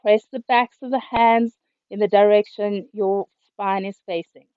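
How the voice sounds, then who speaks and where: A woman yoga teacher, a little hoarse, calmly cueing breath and movements through a class over a video call. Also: medium pitch, a little slow, no echo. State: slightly hoarse. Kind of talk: guided yoga instruction. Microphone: computer mic on a video call. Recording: dull, lo-fi video call audio.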